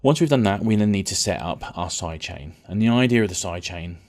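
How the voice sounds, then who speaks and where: A man teaching music technology in an informal software tutorial, explaining step by step and restating key points as he goes. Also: low-pitched, lively intonation, fast.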